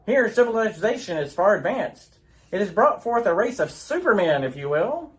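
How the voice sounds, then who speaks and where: Animated woman as theatrical radio announcer. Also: mid-range voice, normal speed, low-quality recording. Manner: theatrical, dramatic announcer delivery. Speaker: announcer and narrator. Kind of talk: scripted old-time radio serial narration.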